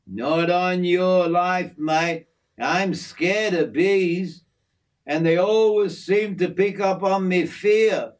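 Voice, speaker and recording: A man's voice: high voice, takes it slowly, voice pushed up. A man voicing a hearty, teasing bushman in a told story, blunt and matey. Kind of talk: acted character in a narrated memoir.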